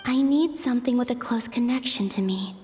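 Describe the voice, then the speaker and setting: A woman voicing a gentle, pensive young moon goddess in a video game, speaking softly in measured, introspective monologue. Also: on the high side, slight background noise, lightly acted. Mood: realizing, resolved.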